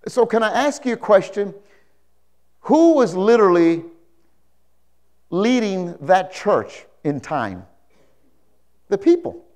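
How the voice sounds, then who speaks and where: An older man delivers a sermon in a high-set voice. He preaches emphatically, repeating key phrases and weaving in stories. He often turns to his listeners for agreement, speaking as a pastor on stage into a lapel mic. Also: very animated, slow, pauses often, darkish tone. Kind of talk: animated sermon with anecdotes. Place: indoor church stage, speaking to a congregation.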